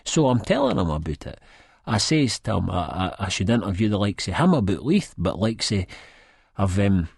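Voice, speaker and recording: Audiobook lead: nervous Edinburgh waster, good-hearted, swooping intonation, dry close sound, self-deprecating rambler. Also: male voice, low-pitched, big pitch swings, moderate pace, creaky, darkish tone, slightly rough, dry recording, heavily acted. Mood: downcast, rambling. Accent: Scottish, Edinburgh. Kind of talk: first-person audiobook narration in dialect.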